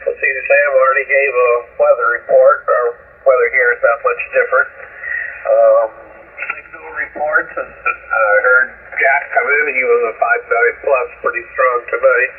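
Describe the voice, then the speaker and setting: Raspy male ham operator, thin radio sound. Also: mid-range voice, fairly even intonation, normal speed. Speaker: amateur radio operator on a net. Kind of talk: casual radio signal reporting. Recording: tinny narrow-band shortwave radio transmission.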